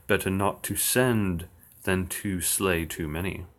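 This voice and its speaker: A man reciting old verse slowly and steadily on his livestream, with dry asides. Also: low voice, fairly even intonation, fairly bright tone. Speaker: occult streamer. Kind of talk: reading verse aloud on a livestream.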